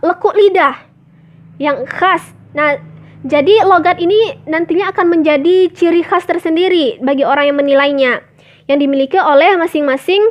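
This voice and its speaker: A young woman, a university student, explains a course topic in a presentation, often filling pauses with "nah" and "eh". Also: high voice. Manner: explanatory, many "nah" and "eh" fillers. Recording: dull lo-fi sound.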